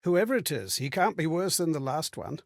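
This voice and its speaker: An actor playing a haunted, bewildered man in a video game, recounting strange memories in a theatrical, halting way with a husky, breathy voice. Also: on the high side, big pitch swings, no echo, clean studio sound. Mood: reflective, puzzled. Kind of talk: dramatic game character monologue.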